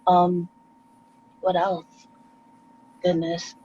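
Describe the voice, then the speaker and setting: A woman with a New York accent, a guest artist on a casual video call chat, relaxed and quick to laugh, with rapid asides. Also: on the low side, breathy edge, lo-fi sound.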